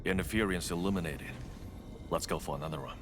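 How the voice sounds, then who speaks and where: A man's voice: deep voice, fast talker, background noise, close to an everyday voice. A man playing a sarcastic, easily exasperated technician in a video game, talking in technical terms. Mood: businesslike. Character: fed-up, sarcastic male test technician.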